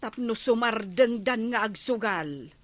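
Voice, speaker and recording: A woman's voice: a little high, animated, unhurried. An actress playing an amused, marvelling village woman in an Ilocano radio comedy drama, with an Ilocano accent.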